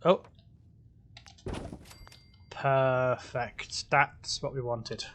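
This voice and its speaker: A man giving relaxed, casual gameplay commentary, talking to himself as he builds, his voice a little croaky. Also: medium pitch, extremely slow, a little hiss or hum. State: slightly croaky voice. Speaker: gaming video host.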